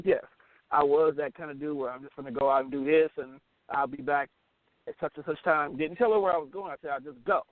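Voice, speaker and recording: A man's voice: a little high, no room sound. An American man, middle-aged, talking casually and candidly as a radio show host, blunt and emphatic, often repeating his phrases. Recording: tinny, phone-like band-limited audio.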